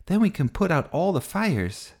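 A man's voice: fairly high pitch, very animated, normal speed, vocal fry, darkish tone, slightly rough, voice pushed up, heavily acted. A man voicing a bossy, dramatic little girl at play in a children's storybook, grandly theatrical in manner. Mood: bossy, instructing. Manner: grandly theatrical, mispronouncing big words.

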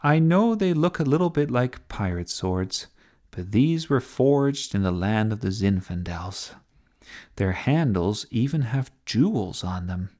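A man voicing a bossy, excitable young girl in a children's storybook, with a theatrical announcer-like delivery. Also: fairly low pitch, measured pace. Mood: eager, proud. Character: bossy, excitable young girl giving breathless play-by-play commentary.

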